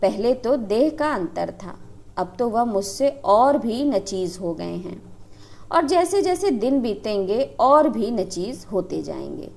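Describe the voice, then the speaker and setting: A proud young village wife, faithful and fiery, her speech rising high and falling with feeling as she lingers over each phrase, as a woman storyteller puts her on. it sounds like the narrator's own voice with more heat in it. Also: big pitch swings, takes it slowly, gravelly, exaggerated voice. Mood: scornful. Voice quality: impassioned, lamenting.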